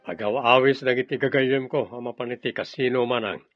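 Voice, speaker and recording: An insolent younger brother acted by a man in a radio drama, with a mid-pitched voice. He sounds spoiled, taunting and defiant. The audio is dry and slightly dull. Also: fairly even intonation, brisk, no room sound, soft background music, natural voice. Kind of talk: Ilocano radio drama acting.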